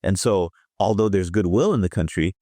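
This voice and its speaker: A man on a roundtable panel, explaining in a measured way and listing his points. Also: deep voice, warm tone, no echo, studio quality. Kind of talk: roundtable discussion.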